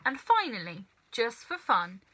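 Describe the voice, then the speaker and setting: Bright, animated woman reading a story. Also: fairly high pitch, unhurried, low-quality recording. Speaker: storybook reader. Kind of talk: expressive children's story narration.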